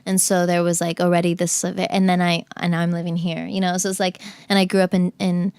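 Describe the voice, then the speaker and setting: A woman's voice: fairly low pitch, little pitch movement, brisk, a little mellow, clean tone. A woman from the Cayman Islands, a podcast guest, talking in a chatty, casual way with many fillers and restarts. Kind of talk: casual interview chat.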